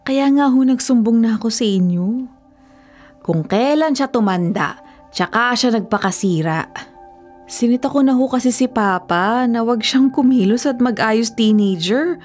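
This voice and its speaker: Disapproving daughter, radio drama, higher-pitched, exasperated scolding, clear music bed. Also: female voice, measured pace, a little mellow. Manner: chiding, exasperated. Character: nagging, disapproving grown daughter scolding her father. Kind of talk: Filipino radio drama acting.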